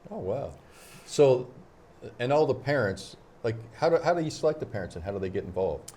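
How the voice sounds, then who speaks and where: A man hosting a casual local show, asking questions and reacting with quick upbeat interjections. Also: mid-range voice, sing-song, dark tone, slight background noise. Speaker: host. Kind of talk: casual chat.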